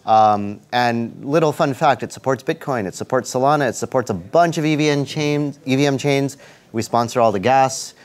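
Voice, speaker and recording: A man's voice: medium pitch, moderate pace. A man speaking as a panelist and engineering lead, upbeat and eager in tone. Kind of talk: conference panel talk.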